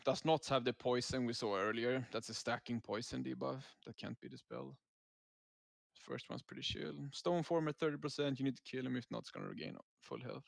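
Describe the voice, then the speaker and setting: A man's voice: medium pitch, fairly even intonation, fairly quick, vocal fry, dry recording. A man giving casual, laid-back gameplay commentary as a raid leader, explaining tactics as he goes.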